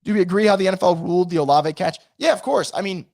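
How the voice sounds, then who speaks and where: A man hosting a sports recap stream, hoarse and losing his voice from feeling sick, ranting and reading out chat comments. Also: high voice, fast, dry recording, studio quality. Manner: animated, frustrated, swearing. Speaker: sports podcast host.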